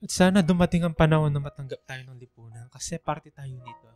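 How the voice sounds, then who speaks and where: A man playing a hesitant young Filipino man in a radio drama, confiding and soft-hearted. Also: a little high, fast talker, natural voice. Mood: grateful, hopeful. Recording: dry studio with faint music.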